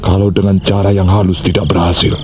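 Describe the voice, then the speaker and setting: A man's voice: deep voice, flat delivery, a little fast, music in the background, lightly acted. An actor playing a bitter, scheming father in a radio drama, grumbling as he talks. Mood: brooding. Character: brooding scheming father, a weaponsmith.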